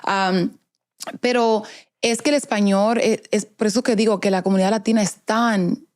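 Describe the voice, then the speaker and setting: A woman's voice: mid-range voice, fairly even intonation, normal speed, a little mellow. A young woman with a Dominican accent, chatting casually as an interview guest, mixing Spanish and English and laughing often. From Dominican heritage, raised in US Virgin Islands. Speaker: guest, media personality. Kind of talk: casual bilingual interview chat.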